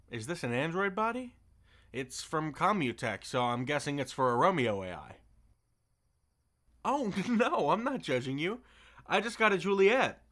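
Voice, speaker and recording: A man's voice: medium pitch, fairly bright tone. A man playing a friendly, awkward delivery driver in an audio roleplay, chatty and sheepish, rambling in a casual way. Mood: amused, sheepish.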